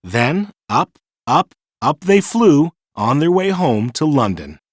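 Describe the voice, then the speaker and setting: A man reading a children's storybook in an animated, dramatic storytelling manner. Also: fairly high pitch, slow, no echo, studio quality. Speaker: storybook narrator. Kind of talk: scripted children's storybook narration.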